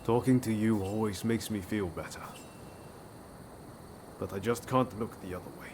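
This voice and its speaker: A man's voice: on the low side, normal speed, noisy background, lightly acted. An actor playing an earnest, idealistic swordsman in a video game, friendly and plain-spoken. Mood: concerned. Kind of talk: video game cutscene acting.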